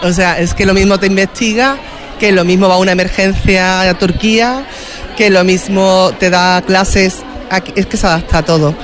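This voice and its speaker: A woman's voice: medium pitch, fairly even intonation, moderate pace, over music. A woman, a nurse, speaking hesitantly with many restarts at an outdoor event. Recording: outdoor event with crowd noise. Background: crowd chatter.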